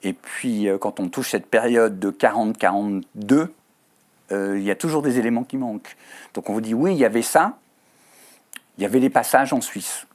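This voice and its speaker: A man's voice: on the low side, normal speed. A Swiss French man, a writer as a guest on a TV studio interview, speaking thoughtfully with frequent hesitations and fillers. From Switzerland. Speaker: author guest.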